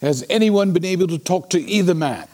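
A man playing a sober, sceptical Victorian gentleman in an audio drama, with a measured, formal, questioning manner. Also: high-pitched, expressive. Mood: grave, resolute. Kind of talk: dramatic audio play acting.

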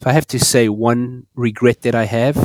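A man's voice: medium pitch, steady tone, moderate pace, dry recording. A man raised in South Africa, an earnest podcast guest who often repeats words as he speaks. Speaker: guest, store operator. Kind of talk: podcast interview.

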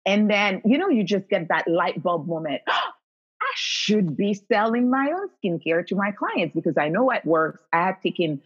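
A woman's voice: mid-range voice, sing-song, husky. A woman from Haiti with a Haitian accent, a guest telling her story in a casual, effusive interview. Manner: warm and effusive, quick to affirm. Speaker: guest, skincare company founder. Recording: dull lo-fi audio.

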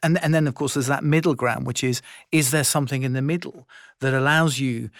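A gravelly man hosts a podcast and talks through questions in a reflective, conversational way. His voice sits in a middle register with plenty of fry. It is recorded dry and close. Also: mid-range voice, fairly even intonation, brisk, dry recording. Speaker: podcast host. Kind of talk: interview chat.

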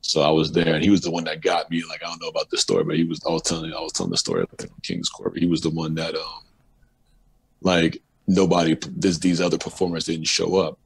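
A man with a very low, gravelly voice and a husky edge tells stories in a loose, profane, animated way. He sounds like a musician guest on a casual talk show, speaking close to the mic. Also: quick pace, poor audio. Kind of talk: casual storytelling interview.

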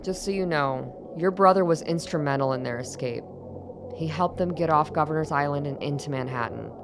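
An actress playing a composed, secretive middle-aged doctor in a radio drama, speaking in a measured, formal and persuasive way. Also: female voice, sits low, background noise. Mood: calm, persuasive. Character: composed, secretive older woman doctor defying her former master.

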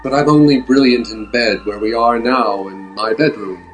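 A man's voice: medium pitch, normal speed, soft background music, close to an everyday voice, poor audio. A man playing a vain, self-serious movie heartthrob with a secret shame, in theatrical improvised old-time radio drama style. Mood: suave.

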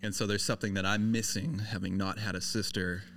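A man's voice: fairly low pitch, little pitch movement, fairly quick, a bit bright, slight background noise. A man co-hosting a podcast, asking curious, jokey questions in a casual chat. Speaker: podcast co-host.

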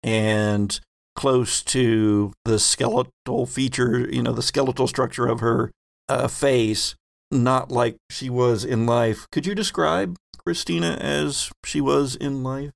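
A man serving as game master, narrating scenes slowly and explaining the rules to players. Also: medium pitch, fairly even intonation, vocal fry, no room sound, studio quality.

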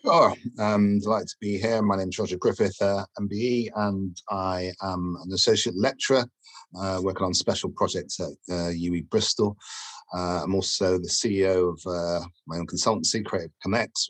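A middle-aged English man being interviewed as a guest, answering in a halting way with many fillers. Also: low-pitched, no room sound. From England. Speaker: guest lecturer and entrepreneur.

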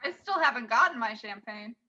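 A woman plays a chummy, nonchalant fan in improvised comedy. Her voice is bright and notably high, with a casual delivery. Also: animated, fairly quick, breathy edge, lo-fi sound, exaggerated voice. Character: chummy fan stranger curious about murder.

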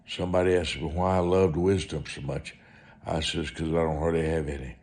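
A man preaching through personal storytelling, speaking in short, deliberate, emphatic phrases and repeating them for effect. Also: very deep voice, little pitch movement, a little mellow. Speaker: preacher and author telling testimony. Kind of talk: storytelling sermon monologue.

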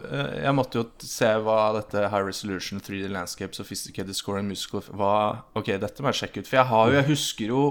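A man chatting casually as a podcast co-host, telling things in a halting, jokey way. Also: fairly low pitch. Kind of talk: casual chat.

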